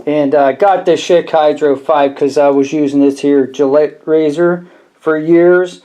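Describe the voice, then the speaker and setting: A man's voice: a little high, fairly even intonation, a little slow, dark tone. A man with a Pittsburgh accent giving a rambling, folksy comic rant to camera about a razor, full of grumbling slang. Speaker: vlogger doing product review.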